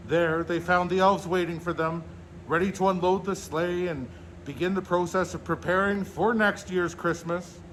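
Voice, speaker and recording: A man reading a children's storybook aloud in a measured, expressive storyteller's manner. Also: sits high, a little hiss or hum, poor audio. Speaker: storyteller in a Santa persona, host.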